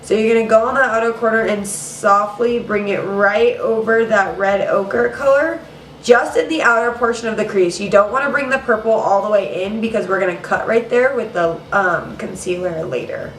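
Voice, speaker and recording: A woman's voice: medium pitch, moderate pace, a little hiss or hum. A young woman hosting a makeup tutorial in a casual, chatty way, a little nasal and congested from allergies. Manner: chatty, casual, says "girl" and "you guys". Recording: roomy, mic at some distance.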